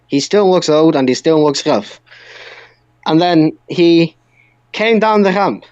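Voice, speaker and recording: A man's voice: a little high, darkish tone, no echo. Podcast guy ranting about his opinions, lightish voice, close dry muffled sound. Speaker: podcast co-host. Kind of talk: opinionated wrestling podcast chat.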